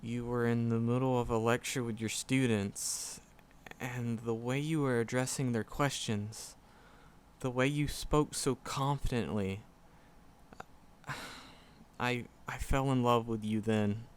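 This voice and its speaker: A man's voice: mid-range voice, fairly even intonation, slight background noise, natural voice. A man playing a shy, flustered, lovestruck magic professor in a roleplay audio drama, stammering and hesitant. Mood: nervous, earnest. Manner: nervous, heavy stammering, hesitant, halting. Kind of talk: roleplay audio drama, speaking softly to the listener.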